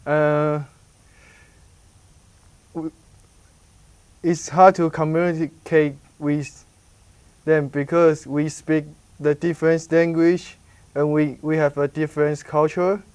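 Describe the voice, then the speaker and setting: Young Taiwanese man, high smooth voice. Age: young adult. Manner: halting non-native English, excitable. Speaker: student reporter. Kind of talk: conversational student news segment.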